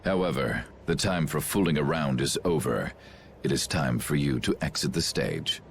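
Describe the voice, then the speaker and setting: An actor playing a scheming middle-aged knight commander in a game, pompous, condescending and theatrical. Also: male voice, rumbling low, moderate pace, a little hiss or hum. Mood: smug contempt. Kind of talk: English game dub acting.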